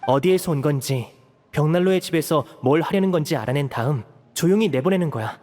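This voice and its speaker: An actor playing a protective, earnest young man in a video game, speaking in a measured, resolute way. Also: a little high, animated, darkish tone, in a large hall, lightly acted. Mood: composed. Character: protective, earnest young elder brother figure, raised an orphan.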